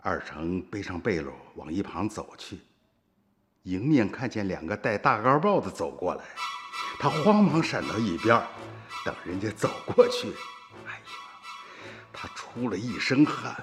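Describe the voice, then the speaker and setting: Low folksy old storyteller, Shaanxi accent. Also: male voice, big pitch swings, measured pace. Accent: Shaanxi dialect. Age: older. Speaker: narrator and grandfather. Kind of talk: radio drama narration. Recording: reverberant radio drama with music.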